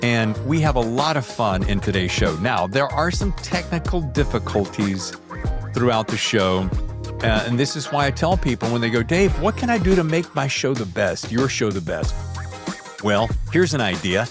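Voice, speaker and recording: Male podcast host, lively pitch swings, informal and joking, music underneath. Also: normal speed. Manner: jokey, informal, mildly profane. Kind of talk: casual, chatty show introduction and interview. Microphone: standalone microphone.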